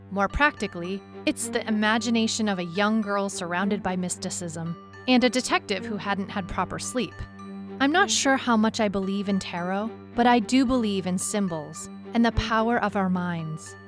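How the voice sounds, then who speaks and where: A woman's voice: mid-range voice, lightly acted. Woman as weary, skeptical detective narrator over music. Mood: reflective, uneasy. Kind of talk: scripted first-person story narration. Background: music bed under the voice.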